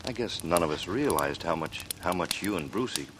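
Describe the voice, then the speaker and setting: Deep, grave radio detective. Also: male voice, expressive, a little fast. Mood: somber. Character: grave, steady male police detective and old friend. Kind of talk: 1940s radio drama acting. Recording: noisy vintage radio recording with clicks.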